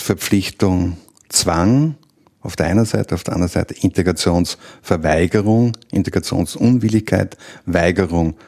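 A man, a linguist analytically listing key words. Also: sits low. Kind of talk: interview statement.

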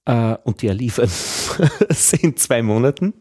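An Austrian man talks casually, like a radio journalist among colleagues. His voice sits at an average male pitch. He rambles and hesitates as he tells his stories, with a wry touch. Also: animated, warm tone, breathy edge. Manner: halting, rambling storyteller, wry. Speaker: radio journalist hosting a conversation. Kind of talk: casual conversational talk.

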